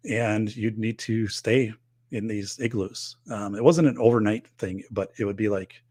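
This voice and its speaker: A man's voice: fairly low pitch, fairly even intonation, unhurried, darkish tone. A middle-aged man hosting a live show, chatting casually and rambling with many fillers and restarts, losing his voice. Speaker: livestream host reading viewer comments.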